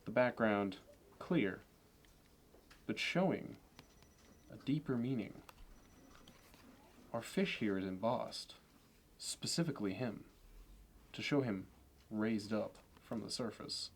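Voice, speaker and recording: Casting: man performing slick Wall Street dealmaker for ASMR roleplay, lowish voice, fussy polish, salesman's condescension, noticeable noise behind. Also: expressive, takes it slowly, pauses often. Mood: fastidious, appraising. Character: smooth, obsessive, status-minded male Wall Street banker.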